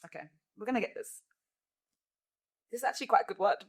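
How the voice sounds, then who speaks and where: A woman hosting a comedy podcast, chatting casually with playful teasing and drawn-out words. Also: mid-range voice. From Ghana. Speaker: podcast host. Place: studio podcast.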